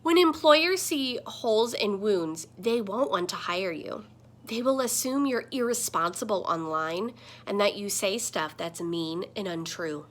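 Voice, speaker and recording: A woman's voice: mid-range voice, expressive, unhurried, close to an everyday voice. A woman voicing a talking computer screen in a children's picture book, a protective mentor who admonishes and instructs emphatically. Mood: stern warning.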